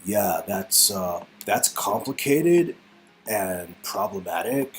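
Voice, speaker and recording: Husky, hesitant man reading game dialogue. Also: lowish voice, animated, takes it slowly, faint music behind. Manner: hesitant, rambling, repeats words. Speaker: performer reading game script. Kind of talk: casual, comic read-through of game dialogue.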